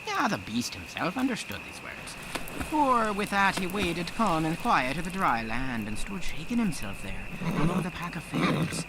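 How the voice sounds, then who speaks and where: A man narrates a folk tale. His fairly high voice rises and falls dramatically from phrase to phrase. Clear noise sits behind the recording. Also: sing-song, moderate pace.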